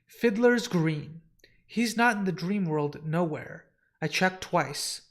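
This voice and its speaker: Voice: medium pitch, expressive, slow pace. A comic reader voicing a dutiful male librarian who serves a lord, reporting in a formal, respectful way. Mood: grave, reporting.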